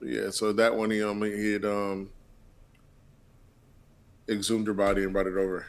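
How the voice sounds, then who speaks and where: A man's voice: on the low side, completely flat delivery, moderate pace, creaky. A man co-hosting a podcast in casual chat, joking and swearing loosely, often restarting his sentences. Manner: loose, laughing, swearing banter with frequent restarts. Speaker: podcast co-host.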